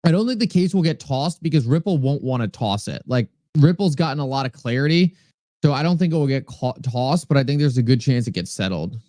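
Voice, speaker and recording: A man's voice: medium pitch, animated, fairly quick, dark tone, no room sound, studio quality. A man with a New England accent hosting a live stream, chatting casually, reading viewer comments aloud and thanking people by name. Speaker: livestream host. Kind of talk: casual livestream talk answering chat.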